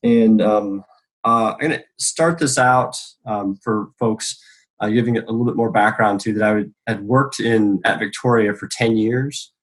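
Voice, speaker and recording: A middle-aged American man answering interview questions in an online talk, speaking with many pauses and restarts. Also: lowish voice, fairly even intonation, rough recording. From United States. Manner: halting, many ums and restarts. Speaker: education professor.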